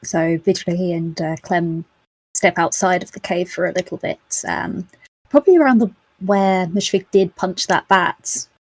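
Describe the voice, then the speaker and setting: A woman casually recapping a tabletop game as a player, hesitant and pausing often. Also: lowish voice, a little mellow, slightly rough, no room sound, rough recording. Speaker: podcast player.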